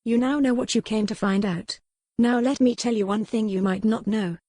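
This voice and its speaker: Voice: medium pitch, steady tone, moderate pace, warm tone, no echo, studio quality, lightly acted. A narrator voicing a resolute young noblewoman, indignant and emphatic, in formal audiobook dialogue.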